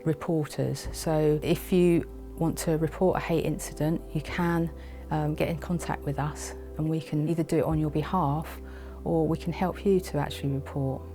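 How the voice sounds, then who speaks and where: A woman's voice: sits low, fairly even intonation, normal speed, hardly any pauses, warm tone, soft background music. A woman from a support charity, plainly explaining the services it offers. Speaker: charity advocate. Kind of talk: explanatory talk.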